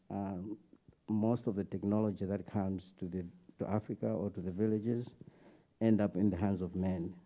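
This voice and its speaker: A young man with a Malawian accent politely and haltingly putting questions to a speaker from the audience. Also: low-pitched, dark tone. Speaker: audience questioner, graduate student. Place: auditorium audience.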